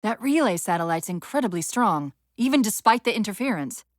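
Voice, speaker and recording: A woman playing a brisk, wry, urgent military AI companion in a video game, giving crisp commands and tactical briefings. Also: medium pitch, expressive, dry recording, studio quality, lightly acted. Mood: brisk, focused.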